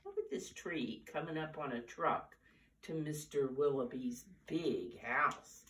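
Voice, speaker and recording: An older American woman in her seventies or beyond talks and reads to preschoolers at storytime, in simple, deliberate, teacher-like phrases, recorded from a few steps away in a living room. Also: a bass voice, a bit bright, recorded from a distance. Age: 70s or older. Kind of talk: storytime talk and picture-book reading for young children. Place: living room at home, indoors. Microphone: camera a few steps away.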